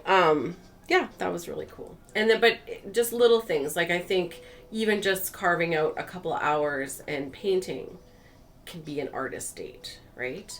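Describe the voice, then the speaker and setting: A woman vlog host telling personal stories in a casual, animated way, acting out bits of dialogue as she goes. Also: on the low side.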